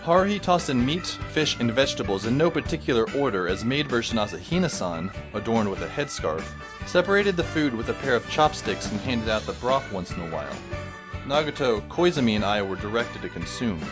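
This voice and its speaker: A man's voice: mid-range voice, very animated, fairly quick, music in the background, close to an everyday voice. A man playing a wry, sardonic teenage boy narrating a fan audio drama with dry, sarcastic asides.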